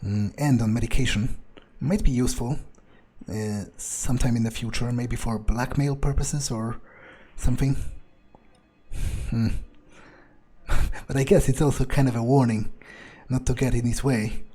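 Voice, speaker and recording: A man giving in-character gameplay commentary, thinking aloud and muttering to himself in a halting, musing way. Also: medium pitch, steady tone, dark tone, slightly rough. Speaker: let's player role-playing his game character.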